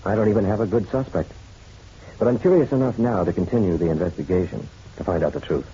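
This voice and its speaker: Deep-voiced wry private eye, acted by a man. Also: quick pace, warm tone, a little hiss or hum, natural voice. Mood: businesslike. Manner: wry, flirtatious, colloquial. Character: wry hard-boiled male private detective. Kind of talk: old-time radio detective drama, first-person narration and dialogue. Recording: dull muffled radio drama recording.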